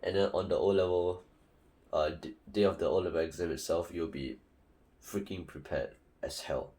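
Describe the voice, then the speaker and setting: A man's voice: low-pitched, steady tone, moderate pace, warm tone. A young man from Singapore with a Singaporean English accent, giving casual, rambling study advice full of fillers and restarts. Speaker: student tutor.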